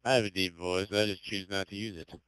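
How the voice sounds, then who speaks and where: A man's voice: low-pitched, fairly even intonation, brisk, fairly bright tone, clear, resonant tone, dry recording, rough recording. A teenage boy on a gaming headset who drawls his lines and breaks into bits of song while he plays. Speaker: gamer in voice chat. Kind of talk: casual party chat while playing.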